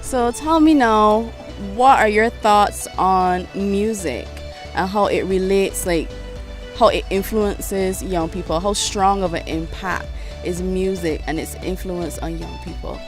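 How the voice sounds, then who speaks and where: A woman interviewer asks her questions slowly and conversationally, at a middling pitch. Soft music plays faintly behind her.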